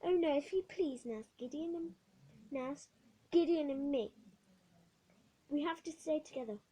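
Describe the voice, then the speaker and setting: A woman voicing a desperate, defiant little orphan girl, pleading and shrill in a story reading. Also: measured pace, mellow.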